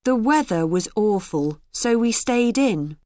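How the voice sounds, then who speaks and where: A woman reading short example sentences clearly and expressively for a language course. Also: on the high side, expressive, unhurried, darkish tone, no room sound. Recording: dry studio recording.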